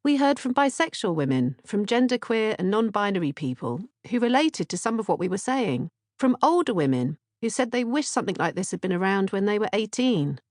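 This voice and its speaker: Woman, animated pitch, scripted audiobook reading, dry recording. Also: a little fast, few pauses, no echo. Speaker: author-narrator reading audiobook, podcast co-host.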